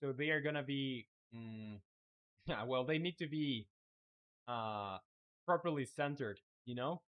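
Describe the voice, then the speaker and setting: A man's voice: medium pitch, unhurried, lo-fi sound. Spanish-native man live-streaming game dev. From Chile. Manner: relaxed, thinking aloud with many fillers. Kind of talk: casual live-stream commentary while working.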